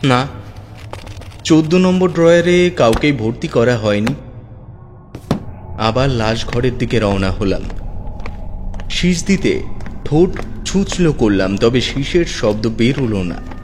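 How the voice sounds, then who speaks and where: A man's voice: medium pitch, animated, unhurried, long pauses. Man narrating a suspenseful horror story. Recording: long hall reverb with music. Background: faint background music.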